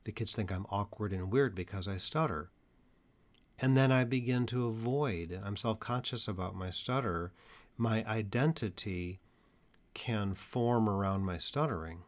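A man with a fairly low voice explains his points slowly and carefully to parents and teachers. He speaks as a therapist, leaning on deliberate pauses to stress key ideas. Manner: measured, deliberate delivery with emphatic pauses. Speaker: stuttering therapist. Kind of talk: educational talk to parents and teachers.

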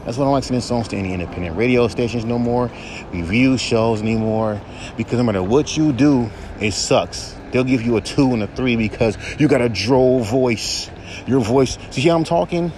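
A young man with a droll baritone voice, ranting bluntly and profanely on his solo podcast. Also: normal speed, background noise. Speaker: podcast host and rapper.

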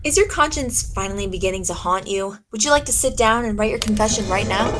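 A woman playing a sharp, accusing detective in a 1940s-style radio drama, commanding and sardonic as she interrogates. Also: mid-range voice, animated, quick pace, background noise, lightly acted. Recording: dramatic music behind the voice.

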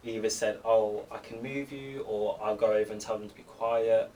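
A young man telling childhood stories in a casual chat, halting and restarting as he goes. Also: on the low side, steady tone, dark tone, a little hiss or hum. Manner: hesitant, halting, with many restarts. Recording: distant mic.

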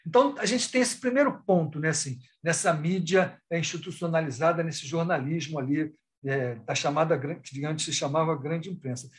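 Man, Brazilian accent, fairly high voice, academic panelist, spontaneous, discursive, halting phrasing with frequent rewording. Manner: halting and discursive, with repeated tag questions and rephrasing. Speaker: academic researcher and thesis supervisor. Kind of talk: spontaneous panel discussion in an online live talk.

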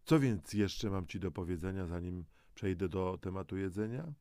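A man presenting educational health material in a measured, explanatory way, mixing scripted narration with conversational asides to the listener. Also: sits low, mellow, clean tone, no room sound, studio quality. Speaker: presenter of a health audio programme.

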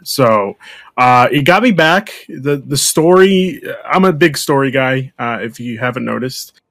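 A male podcast co-host talking casually, wandering through his point with fillers and restarts. His voice is mid-pitched and sits in a dry, dead-sounding room. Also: expressive, measured pace, a bit bright, no room sound. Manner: rambling, many restarts and fillers. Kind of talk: casual chat.

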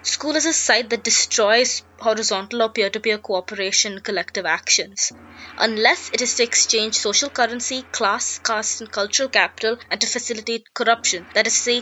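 A woman's voice: on the high side, bright, forceful tone, a little hiss or hum. A young woman, reading a scripted personal essay in an articulate, sharply political and wry way. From India. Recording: dull lo-fi recording.

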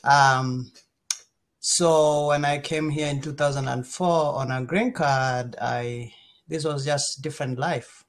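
A middle-aged man with a Kenyan accent, talking casually as an interview guest and pausing often mid-sentence. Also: medium pitch, fairly even intonation.

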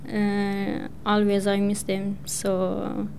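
A young woman from Pakistan whose first language is Persian, speaking halting, hesitant English with many fillers as a student talking on community radio. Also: medium pitch, monotone, creaky, a little mellow, slight background noise. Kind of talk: prepared personal talk.

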